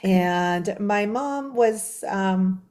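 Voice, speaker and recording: A woman's voice: mid-range voice, very slow, a little mellow. A middle-aged woman from Quebec City whose first language is French, a guest author telling her story in a bubbly, rambling way with many fillers. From Quebec City, Canada. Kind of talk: conversational storytelling.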